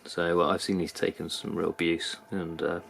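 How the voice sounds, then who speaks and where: A man with a British accent giving a casual, unscripted product review in a halting manner. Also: deep voice, flat delivery, creaky, fairly bright tone, a little hiss or hum. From United Kingdom. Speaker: knife reviewer. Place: indoors at a workbench.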